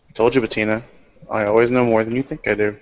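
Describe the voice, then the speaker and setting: Calm, measured man on a lo-fi mic. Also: lowish voice. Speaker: player voicing his character in a tabletop game. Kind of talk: in-character roleplay talk.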